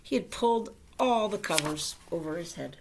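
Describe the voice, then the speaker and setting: A woman reading a children's story aloud in a gentle storytelling style. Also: lowish voice, animated, normal speed, noisy background. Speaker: storybook reader.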